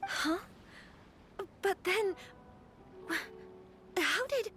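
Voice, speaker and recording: A woman's voice: extremely high pitch, expressive, very slow, exaggerated voice. A woman playing a polite, troubled young noblewoman in a video game, speaking formally with some hesitation. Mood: flustered. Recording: soft game music behind the voice.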